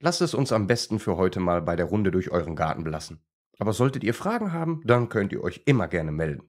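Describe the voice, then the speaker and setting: A man's voice: sits low, big pitch swings, fast. A man voicing a proud, enthusiastic male crested newt in a children's story, chatty and keen to explain. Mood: proud, friendly.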